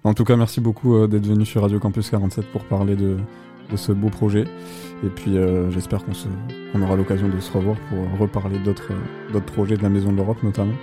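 A man hosts a radio talk segment in a low voice. His delivery is flat and relaxed, with short prompts and a friendly wrap-up. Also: fast talker, few pauses, music in the background. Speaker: radio host. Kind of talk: casual radio interview. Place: radio studio.

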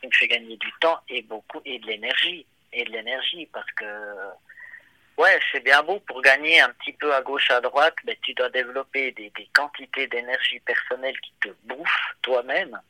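A man with a Swiss French accent, a watchmaker being interviewed, talking casually with many pauses and restarts. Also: medium pitch, bright, forceful tone, dry recording, low-quality recording. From Switzerland. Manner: hesitant, many fillers and restarts. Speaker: watchmaker and guest.

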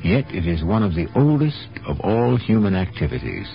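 A man playing the grave, knowing host of a radio mystery drama, narrating in a deliberate, portentous way. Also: low voice, big pitch swings, vocal fry, exaggerated voice. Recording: dull 1970s radio broadcast, music behind.

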